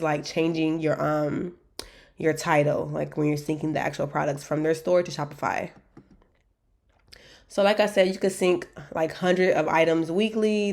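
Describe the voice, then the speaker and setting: A woman talks in a loose, chatty way, full of "um"s and restarts. Her nose is stuffed up, so her voice sounds a little blocked. Her pitch sits slightly low for a woman. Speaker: dropshipping store maker reviewing vendors. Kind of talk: casual screen-share walkthrough.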